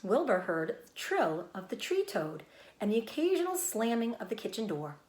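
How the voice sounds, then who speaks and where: Expressive woman reading a storybook. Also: on the high side, normal speed, reverberant. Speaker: teacher reading aloud. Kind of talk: scripted storybook narration.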